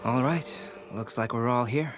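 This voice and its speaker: Man as a polite game character, mid-pitched, composed, articulate, soft music behind. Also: a little slow, close to an everyday voice. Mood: pleasant, composed. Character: courteous, composed man who cooks and explains food. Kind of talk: video game voice acting.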